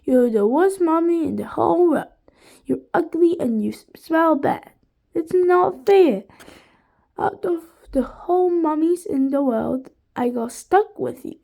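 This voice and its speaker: A woman's voice: very high-pitched, lively intonation, measured pace, creaky, dark tone, voice pushed up, exaggerated voice. A whiny spiteful little girl, as a woman puts her on. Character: sneering villain teacher faking a little girl on the phone.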